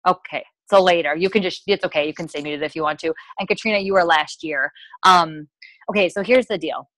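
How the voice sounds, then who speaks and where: An American woman with a slightly low voice coaches her team on a casual call. She is enthusiastic and chatty, often wandering into asides. The sound is dry, with little room in it. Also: fairly quick, a bit bright, no echo, low-quality recording. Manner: enthusiastic, peppy, rambling with asides. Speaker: sales team leader hosting a coaching call.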